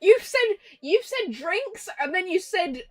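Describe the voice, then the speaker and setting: A woman's voice: very high-pitched, sing-song, slow, faint music behind. A woman livestreaming a game with casual, playful, teasing commentary. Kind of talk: casual chatty gameplay commentary.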